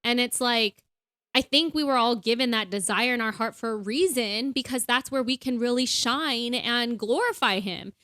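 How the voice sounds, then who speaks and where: A woman with a fairly high voice talks reflectively on a faith podcast, dotting her sentences with "like" and "you know." The sound is close and dry, with no room echo. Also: normal speed, bright, forceful tone. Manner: reflective, lots of "like" and "you know", laughs easily. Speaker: podcast co-host. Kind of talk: casual faith chat.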